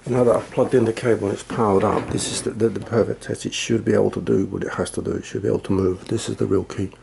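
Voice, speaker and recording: A man's voice: fairly low pitch, flat delivery, quick pace, creaky, a little mellow, slight background noise. A man casually demonstrating old computer gear, thinking aloud in a rambling, self-deprecating way. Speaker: retro computer hobbyist. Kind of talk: casual hands-on demo.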